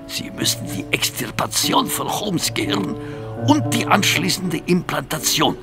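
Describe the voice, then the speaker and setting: An actor playing a grandiose, obsessive mad surgeon in an audio drama, halting and theatrical, menacing and fond of repeating his own phrases. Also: high voice, very animated, over loud music, heavily acted. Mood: sinister, courteous. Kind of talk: German audio drama acting.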